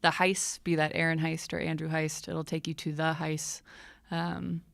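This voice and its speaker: Creaky, low-voiced casual woman. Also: level tone, moderate pace, a bit bright, dry recording. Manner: offhand, informal. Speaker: musician, interview guest. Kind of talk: casual chat.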